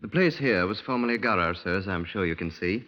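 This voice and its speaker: An actor playing a smart, deferential young British detective sergeant in 1950s radio drama, very proper and eager to please. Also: male voice, deep voice, lively intonation, fast talker. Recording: dull lo-fi old radio recording.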